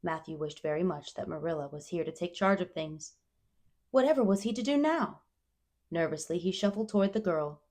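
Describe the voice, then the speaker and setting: A woman reading a children's storybook aloud as scripted narration, expressive and clearly phrased. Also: fairly low pitch, a little fast. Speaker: storyteller, reader.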